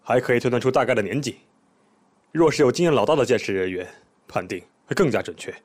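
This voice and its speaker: An actor playing a composed male police detective in an audio drama, explaining things in a measured, methodical, polite way. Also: medium pitch, lively intonation, lightly acted. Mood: polite, probing. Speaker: police investigator questioning a witness.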